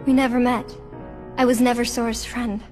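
A woman playing a gentle, timid, sorrowful teenage girl in a game cutscene, soft and hesitant. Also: a little high, little pitch movement, normal speed, faint music behind, close to an everyday voice. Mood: sad, guilty. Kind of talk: animated game cutscene acting.

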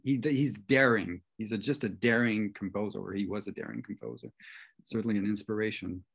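A man's voice: lowish voice, fairly quick, vocal fry, a bit bright, breathy edge. A middle-aged man, talking casually and thoughtfully as an interviewed composer on an indoor video call. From Canada. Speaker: film composer being interviewed.

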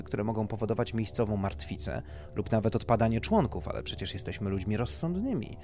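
A man reading scripted horror fiction as a dramatic narrator, with music behind him. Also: fairly low pitch.

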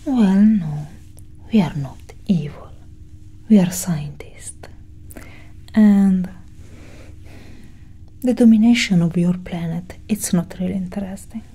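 ASMR roleplay alien scientist, played by a woman: female, low, dark whisper, calm, condescending, soothing. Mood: coolly reassuring. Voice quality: whispery, breathy. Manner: slow, hushed, reassuring. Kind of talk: soft-spoken ASMR roleplay. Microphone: close ASMR microphone.

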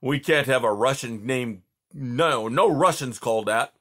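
A man voicing a jolly, domineering Russian tsar who talks loudly and teases. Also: mid-range voice, a little slow. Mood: dismissive. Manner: loud, roaring, teasing.

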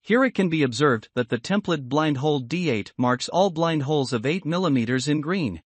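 A man giving scripted, instructional software tutorial narration in a measured, explanatory manner. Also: a little high, lively intonation, breathy edge, no room sound.

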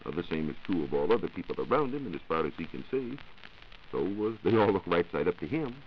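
A man's voice: low-pitched, big pitch swings, quick pace. A man, a 1940s radio science reporter, giving scripted explanations in formal wording. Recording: dull, noisy 1940s radio recording.

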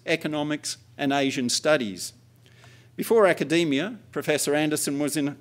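A man in his sixties reading a formal introduction from notes at a lectern mic in a large indoor hall. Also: on the high side. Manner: reads steadily from notes. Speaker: host introducing the speaker.

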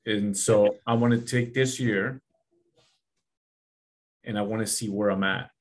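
Low-pitched man in his forties, earnest. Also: fairly even intonation, measured pace. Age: 40s. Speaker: podcast host. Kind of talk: casual interview chat. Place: small office room, video call.

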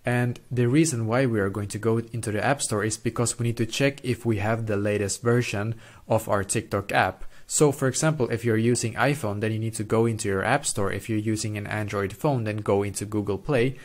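A man's voice: lowish voice, fairly even intonation, a little fast. A man in his twenties hosting a step-by-step tech tutorial in a repetitive, explanatory way, speaking close to a desktop microphone indoors. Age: 20s. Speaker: tutorial host. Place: small plain room indoors. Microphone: desktop microphone close in front.

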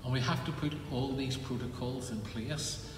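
An older man, a minister speaking to his congregation in a measured, gentle, reassuring way from inside a large empty church. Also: mid-range voice, steady tone, noisy background. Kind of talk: pastoral announcement to congregation. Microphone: phone camera close up, then from a distance. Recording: echoey church hall with background music.

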